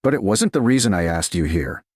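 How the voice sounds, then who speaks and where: A man doing a quiet restrained duke. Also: fairly low pitch, animated, dry recording, studio quality. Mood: quiet, candid. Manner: quiet, measured, softly spoken. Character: composed restrained male duke, gentle and exact.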